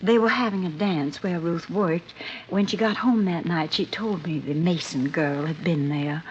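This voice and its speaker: A woman's voice: fairly low pitch, normal speed, lightly acted. An American actress playing a polite, chatty, wistful middle-aged wife and mother in 1950s radio drama style. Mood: eager, proud. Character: genteel, fluttery, wistful older wife and grieving mother. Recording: muffled, noisy old radio recording.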